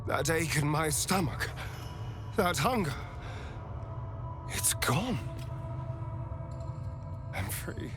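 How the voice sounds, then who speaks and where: An actor playing a theatrical, power-hungry vampire spawn in a dramatic video game cutscene, with breathy delivery. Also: male voice, on the high side, animated, drawn-out words, long pauses. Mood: relieved, elated. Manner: theatrical, breathy whispers rising to cries. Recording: music behind the voice.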